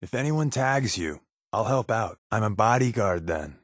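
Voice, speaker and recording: An actor playing a wisecracking, laid-back undercover rebel agent in a video game, glib and sardonic in a conversational way. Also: male voice, on the low side, expressive, normal speed, no echo, studio quality. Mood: easygoing, joking. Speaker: video game companion character.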